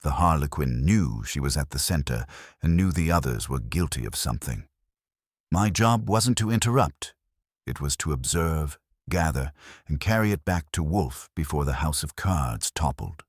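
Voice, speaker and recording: A man narrates a detective story in a very deep voice with lively, swooping intonation. His delivery is measured and deliberate, with a husky grain to it. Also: no echo, clean studio sound. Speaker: audiobook narrator. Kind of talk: scripted first-person detective story narration.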